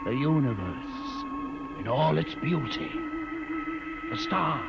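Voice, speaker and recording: An actor playing a commanding, scornful older rebel guide in a 1950s radio drama, with a theatrical, sardonic delivery. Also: male voice, fairly high pitch, big pitch swings, takes it slowly, creaky, loud music behind the voice, full character voice. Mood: grand, rapturous. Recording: muffled, noisy 1950s radio recording.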